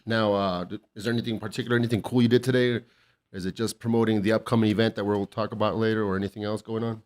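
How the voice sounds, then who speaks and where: A man's voice: lowish voice, fairly even intonation, very fast, darkish tone. A man hosting a casual podcast interview, laid-back, swearing often and cheering his guest on. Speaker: podcast host.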